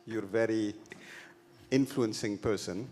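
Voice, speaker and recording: A man's voice: lowish voice, steady tone, dark tone, a little hiss or hum. Hesitant man in the audience. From Egypt. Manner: hesitant, halting with restarts. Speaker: audience member. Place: large event hall. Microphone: handheld microphone passed to him.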